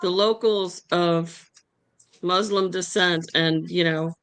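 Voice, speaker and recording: A woman's voice: fairly low pitch, steady tone, takes it slowly, a bit bright, clean tone, no echo. A woman chatting casually on a stream, chiming in with jokes and anecdotes. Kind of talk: casual group chat on a stream.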